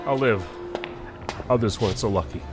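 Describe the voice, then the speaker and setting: A man's voice: fairly low pitch, normal speed, over music, natural voice. A man playing an injured, stoic and wry federal agent in a science fiction audio drama. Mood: wry, grim.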